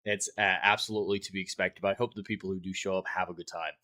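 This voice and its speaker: Deep, bright-voiced male livestream host. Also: level tone, fast talker. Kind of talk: livestream monologue, chatting with viewers.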